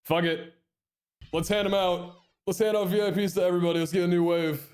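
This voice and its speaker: A man's voice: sits high, a little fast, smooth. A young man streaming live, chatting with his chat and thanking subscribers, a little nasal from a head cold. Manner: chatty and excitable, reads out chat and thanks subs.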